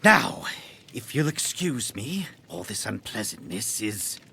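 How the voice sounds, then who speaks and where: An actor playing a haughty, condescending rich male tycoon in an audio drama, with a raspy, theatrical delivery. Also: mid-range voice, sing-song, slow, sharp tone, slight background noise, full character voice. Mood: irritated, scolding.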